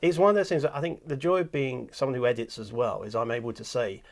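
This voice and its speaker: Mid-pitched British man, host and producer, animated and conversational. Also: quick pace. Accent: British English. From England. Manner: animated, acts out little scenes. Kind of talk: casual interview chat.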